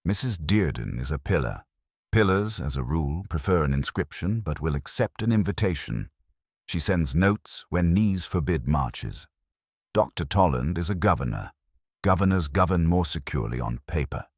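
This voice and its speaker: A man's voice: rumbling low, expressive, moderate pace, dry recording, clean studio sound, full character voice. A man voicing a prim, imperious older English widow who speaks in precise, formal aphorisms for an audio mystery drama. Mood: precise, lofty.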